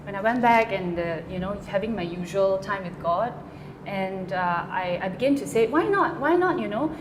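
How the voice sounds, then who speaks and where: A woman's voice: medium pitch, animated, moderate pace, roomy sound, background noise. A woman, a songwriter answering interview questions hesitantly, with many fillers and restarts. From Malaysia. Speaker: guest songwriter.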